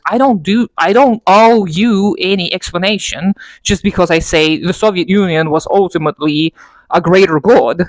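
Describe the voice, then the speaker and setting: A woman's voice: on the low side, dry recording, poor audio. A woman vlogger ranting unscripted to her camera, exaggerating for effect and mocking herself as she goes. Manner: exaggerating and self-mocking, with frequent laughter. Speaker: political video blogger. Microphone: camera mic.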